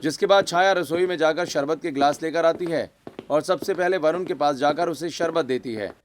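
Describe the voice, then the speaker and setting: A man narrating a scripted family story for an animated video. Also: a little high, normal speed, a little hiss or hum.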